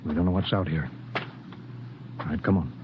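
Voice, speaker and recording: An actor in an old radio drama playing a cautious, commanding spaceship captain, giving clipped, authoritative orders. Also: male voice, low voice, normal speed, natural voice. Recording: dull, noisy old radio recording.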